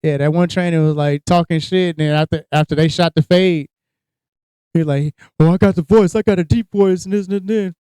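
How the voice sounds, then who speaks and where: A man's voice: on the high side, fairly quick, clean tone, dry recording. A man co-hosting a podcast, telling crude, joking stories in a casual chat. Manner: crude, joking, animated storytelling. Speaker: podcast co-host.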